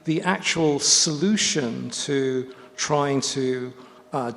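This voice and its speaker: Man moderating a panel, gravelly voice, halting and thinking aloud, long hall reverb. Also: mid-range voice. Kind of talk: panel discussion.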